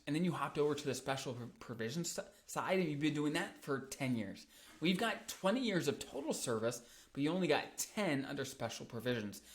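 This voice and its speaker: A man hosting a video as a financial advisor, explaining in an upbeat, casual way, with lively emphatic peaks and quick restarts. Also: a little high.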